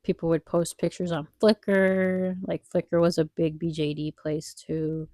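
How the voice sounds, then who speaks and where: Congested, low-voiced young woman chatting. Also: flat delivery, a little slow, warm tone, no room sound, clean studio sound. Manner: rambling, wistful, heavy on fillers. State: congested nose. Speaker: vlogger. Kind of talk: casual chat while drawing.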